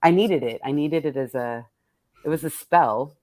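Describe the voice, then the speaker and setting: A woman's voice: low voice, moderate pace, a little mellow, no echo. A woman speaking as a poet and host, casually and candidly introducing a poetry reading, salty-tongued and thoughtful as she searches for words.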